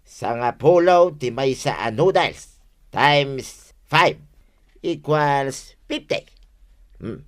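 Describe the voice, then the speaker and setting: A woman playing an earnest young child in a radio comedy drama, speaking haltingly. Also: a bass voice, animated, exaggerated voice. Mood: concentrating.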